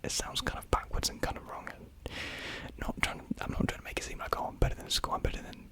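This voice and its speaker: A young adult whispering softly and breathily into a close microphone in a casual ASMR chat, answering viewer comments in a hesitant, rambling, self-deprecating way. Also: fast.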